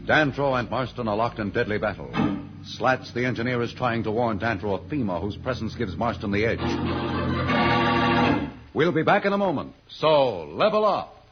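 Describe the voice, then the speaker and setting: A man's voice: mid-range voice, animated, moderate pace. A man announcing and narrating an old-time radio serial in a dramatic, scripted style. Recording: muffled old radio recording. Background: loud organ music.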